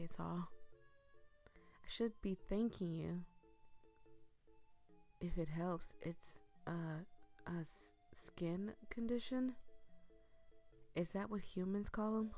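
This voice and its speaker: A woman's voice: on the low side, a little mellow. A woman voicing a shy, horned mushroom-creature young man in a romance game who answers evasively and sheepishly.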